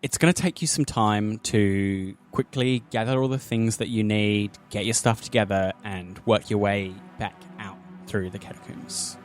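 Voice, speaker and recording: A man's voice: lowish voice, a little slow, few pauses, slight background noise. A man narrating a tabletop roleplaying game as game master, with descriptive, dramatic storytelling.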